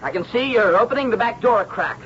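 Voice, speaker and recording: An actor playing an eager, dutiful police sergeant with an official warning tone in a radio drama. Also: male voice, very high-pitched, lively intonation, fast talker, fairly bright tone. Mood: urgent. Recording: dull, noisy old radio recording.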